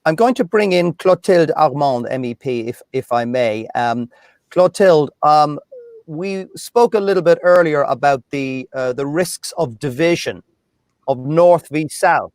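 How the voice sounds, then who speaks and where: A man's voice: fairly high pitch, a little slow, a little mellow, no room sound, studio quality. A man moderating a panel, polite and conversational as he brings in a speaker.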